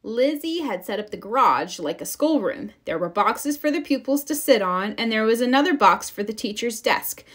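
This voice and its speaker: A woman narrating a children's story in an expressive read-aloud style. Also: a little high, normal speed, hardly any pauses. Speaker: storybook reader.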